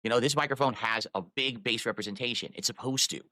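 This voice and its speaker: A man's voice: medium pitch, fast talker, bright, forceful tone, no echo. A man live-streaming, rambling while he fiddles with his microphone and audio settings.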